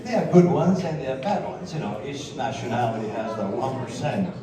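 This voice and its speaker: A man's voice: medium pitch, expressive, a little fast. Rambling Sicilian-born man, roomy and distant. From Sicily, Italy. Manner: rambling, boastful, chuckles often. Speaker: former marketing director telling his life story. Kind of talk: casual storytelling. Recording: roomy, distant mic, crowd around.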